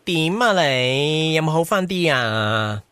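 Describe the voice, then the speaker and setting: High, lively, campy Hong Kong man. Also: slow, very noisy. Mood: coaxing. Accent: Hong Kong Cantonese. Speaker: lead and narrator of radio drama. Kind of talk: comedic radio drama narration and dialogue. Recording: radio drama with music underneath.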